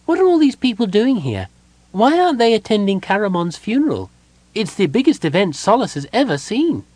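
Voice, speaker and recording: An excitable little kender wanderer from a fantasy storybook, pitched way up high. The delivery is naive, cheerful and eager, bouncing all over in pitch. It chatters along in a scatterbrained, easily distracted way, close and dry. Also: male voice, a little fast, no echo. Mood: bewildered. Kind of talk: audiobook character voice.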